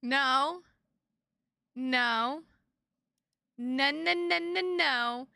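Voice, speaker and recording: A woman live streaming games, chatting casually with viewers in an excitable, sweary way and repeating her phrases for emphasis. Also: sits high, very slow, vocal fry, dry recording, studio quality. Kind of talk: casual livestream chat with viewers.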